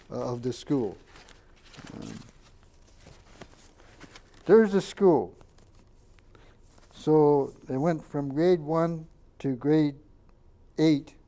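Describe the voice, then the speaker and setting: An older man, a Japanese first-language speaker from Canada, recalls his childhood in a halting oral history interview and points out photos. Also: medium pitch, warm tone. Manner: halting, many fillers and restarts, pointing out photos.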